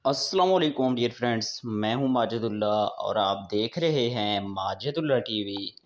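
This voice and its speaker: Low-voiced man hosting a tutorial, lo-fi sound. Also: expressive, moderate pace. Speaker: host of a tech tips channel. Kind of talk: casual app tutorial narration to friends. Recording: dull, lo-fi voice-over recording.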